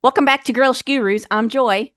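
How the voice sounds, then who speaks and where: A woman podcast co-host from New York, chatty and animated, who jokes about herself. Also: fairly high pitch, fairly quick, no room sound, clean studio sound. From New York State. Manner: chatty, animated, self-deprecating. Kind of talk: casual podcast chat.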